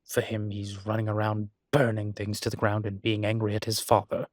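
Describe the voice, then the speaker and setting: A man's voice: on the low side, monotone, fairly quick, no room sound, studio quality, close to an everyday voice. A man playing a refined incubus demon professor in an intimate audio roleplay, explaining things in a hesitant, genteel and slightly irritated but patient manner. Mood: exasperated, explaining.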